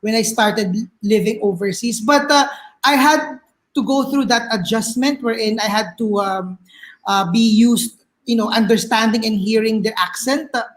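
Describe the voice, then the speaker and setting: A woman's voice: fairly high pitch, normal speed. A woman with a Filipino accent speaking as a panel guest, hesitant with many fillers and repeated words. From Philippines. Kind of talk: casual panel discussion.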